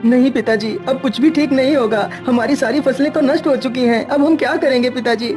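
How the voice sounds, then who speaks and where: A woman's voice: high-pitched, a little fast, over music, close to an everyday voice. A woman voicing an anxious, hardworking young male farmer in a cartoon, earnest and pleading. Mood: despairing.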